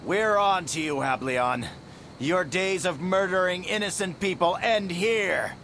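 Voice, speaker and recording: Defiant, higher-voiced heroic rebel. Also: male voice, unhurried, creaky, sharp tone, a little hiss or hum, heavily acted. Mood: righteous defiance. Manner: bold, declamatory, accusing. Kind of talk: video game cutscene acting.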